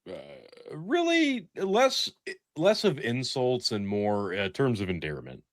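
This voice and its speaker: A man's voice: mid-range voice, very animated, takes it slowly, dry recording, clean studio sound. A man co-hosting a podcast, trading casual comedic banter in a joking, mock-serious manner. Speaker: podcast co-host.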